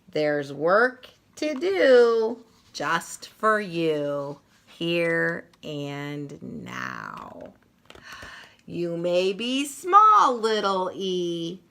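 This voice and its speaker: Bright, highly animated woman reading to children. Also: creaky. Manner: expressive, playful, sing-song emphasis on repeated words. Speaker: storyteller reading a picture book aloud to children. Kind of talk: animated children's read-aloud with drawn-out sound words.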